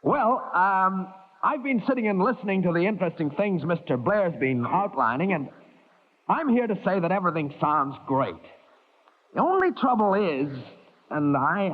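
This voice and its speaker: A folksy, falsely earnest newcomer in a vintage radio play. His voice is high for a man and swings up and down in lively fashion as he hems and stammers. The recording is thin and muffled. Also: moderate pace, a little hiss or hum. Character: folksy, pushy male newcomer posing as earnest volunteer. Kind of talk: 1940s-50s radio drama acting. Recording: muffled, narrow old-time radio recording.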